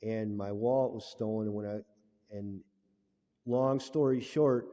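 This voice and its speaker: Low-voiced man in a heavily echoing recording. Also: fairly even intonation, a little slow, dark tone. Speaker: caller to a government agency. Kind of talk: formal complaint on a recorded phone call. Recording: muffled, very reverberant amateur call recording.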